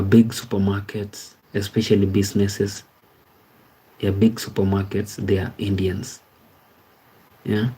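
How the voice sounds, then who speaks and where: A Kenyan-accented man hosts a relaxed live stream, greeting viewers and reading their comments back to them. His voice sits low and mellow, with a level, unhurried intonation that rarely rises or falls. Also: rough recording. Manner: reads viewer comments aloud and thanks them by name; easygoing, repeats words like "amazing, amazing". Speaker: livestream host. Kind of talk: casual live-stream chat.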